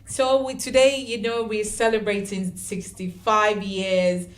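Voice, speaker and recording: A woman hosting a radio interview, eager and quick to praise her guest. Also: mid-range voice, flat delivery. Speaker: radio host. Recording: roomy echo.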